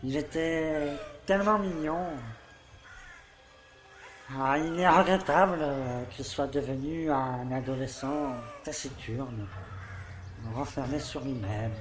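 A man's voice: on the high side, slow. A man playing a self-satisfied older village mayor in an audio drama, with a pompous, paternal way of talking. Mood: nostalgic. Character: smug, falsely kindly older village mayor. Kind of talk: theatrical monologue in an audio play. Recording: echoey room, faint background noise.

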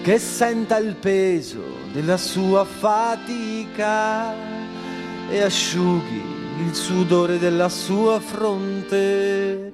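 A man performing a grieving, bereaved figure in a poetic theatrical lament, delivered in measured verse over music. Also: high voice. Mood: mournful, accusing. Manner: slow, measured, verse-like phrasing. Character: grieving bereaved person confronting a killer. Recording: prominent music under the voice. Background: live musical accompaniment.

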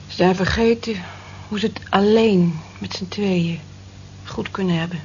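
A woman's voice: mid-range voice, unhurried, darkish tone, natural voice. Actress as a wistful middle-aged woman, muffled recording. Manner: reflective, plaintive. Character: weary, wistful working woman of forty-four, discontented. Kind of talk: radio drama acting. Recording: dull lo-fi old radio recording, hiss.

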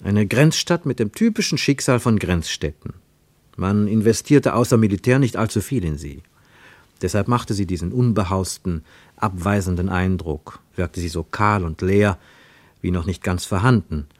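A man narrating a literary radio play in a measured, precise, wry storytelling manner. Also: deep voice, no room sound. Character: measured, wry male narrator of a travel tale.

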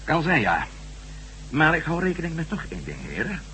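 A man's voice: fairly high pitch, huge pitch swings, a little fast, pushed and bright, heavily acted. A man acting a resolute ex-astronaut reporter, a confident adventurer, in a Dutch radio drama. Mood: determined. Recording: noisy old radio play recording with hum.

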